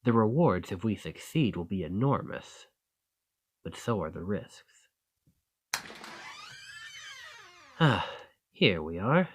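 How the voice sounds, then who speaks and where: Bandit leader, male actor, audio roleplay: confident rogue, fairly deep voice, very unhurried, folksy and teasing. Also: sing-song, husky, exaggerated voice. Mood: amused, conspiratorial. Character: roguish male bandit leader, confident, wry, genial schemer. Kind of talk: scripted roleplay acting addressed to the listener.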